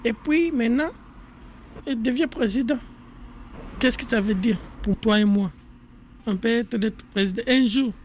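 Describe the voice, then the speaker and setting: Halting young Congolese man over faint noise. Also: very high voice, a little mellow. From Democratic Republic of the Congo. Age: 20s. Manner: halting, simple phrasing in rusty French. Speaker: interviewee, refugee entrepreneur. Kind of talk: spontaneous interview testimony.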